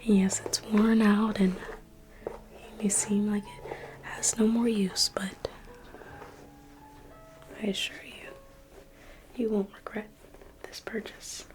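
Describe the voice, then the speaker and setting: A woman whispering softly and reassuringly as a private museum guide in an ASMR roleplay. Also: medium pitch, little pitch movement, slow, long pauses, soft background music, rough recording. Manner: soft whispering, intimate and reassuring.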